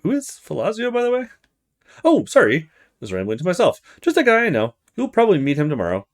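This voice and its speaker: Man voicing a feisty young student woman, lively pitch. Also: a little fast, heavily acted. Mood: curious, rambling. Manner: blunt, defiant. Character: feisty, guarded young female university student, foul-mouthed. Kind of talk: visual novel character read-aloud.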